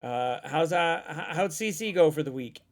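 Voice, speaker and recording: Male stream host, fairly high voice, excitable, profane, rambling. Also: normal speed. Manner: excitable, swears freely, repeats himself. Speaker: streamer hosting a guest chat. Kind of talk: loose casual chat. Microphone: desk microphone.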